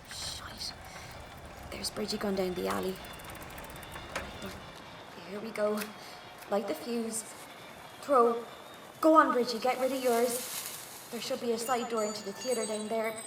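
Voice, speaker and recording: A woman's voice: a little high, noisy background. An actress with a Dublin Irish accent playing a tense, hardened young rebel woman, narrating a 1920s audio drama in the first person. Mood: tense, nervous, then urgent, frightened.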